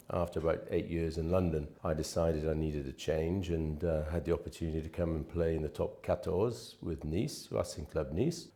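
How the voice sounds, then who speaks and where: A middle-aged man from Wales, a real estate manager, answering interview questions in a relaxed, anecdotal way with frequent restarts. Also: low-pitched.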